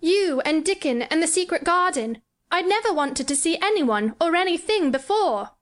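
A woman's voice: very high-pitched, expressive, moderate pace, voice pushed up. A woman voicing an earnest, eager young boy in a storybook reading, well-spoken and a little imperious. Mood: earnest, hopeful.

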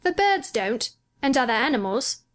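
A woman's voice: high voice, lively intonation, moderate pace, a bit bright, voice pushed up. A woman voicing a sickly, petulant and solemn young boy in a storybook, earnest and self-absorbed. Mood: thoughtful. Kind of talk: audiobook character dialogue.

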